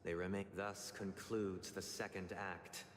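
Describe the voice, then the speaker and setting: An actor playing a solemn, theatrical male villain who delivers grand, formal monologues in a measured way. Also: low voice, little pitch movement, a little hiss or hum. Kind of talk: dramatic scripted monologue. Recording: long hall reverb under loud music.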